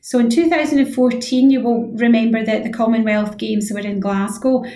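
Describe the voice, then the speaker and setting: A woman's voice: a little high, steady tone, moderate pace, dark tone. A woman giving an informal radio interview as a physiotherapy expert, earnest and stressing key points by repeating them. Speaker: interview guest, head of physiotherapy. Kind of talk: informal radio interview, explaining health advice. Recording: roomy, echoey sound.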